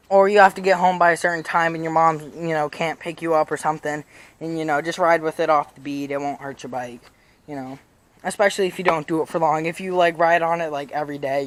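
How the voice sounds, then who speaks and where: A young man talks through bike tips in a loose, rambling way, stacking fillers and restarting his sentences. His voice sits a little high and is slightly raspy, and he sounds casual. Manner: rambling, full of "you know" fillers and restarts. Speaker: BMX vlogger. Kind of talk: casual how-to vlog. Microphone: chest-mounted action camera.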